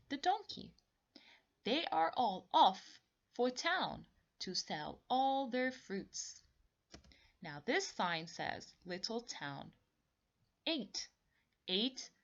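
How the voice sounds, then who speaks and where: Slow, expressive woman reading to children. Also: mid-range voice, lo-fi sound. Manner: slow, deliberate explaining with repetition. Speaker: teacher reading aloud. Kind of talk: read-along story lesson for young children.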